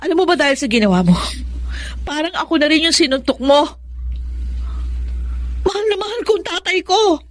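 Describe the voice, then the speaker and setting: An actress playing a hurt, unforgiving young daughter and sweetheart with a Filipino accent in a radio drama. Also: female voice, high voice, animated, fairly quick, long pauses. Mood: angry, scornful.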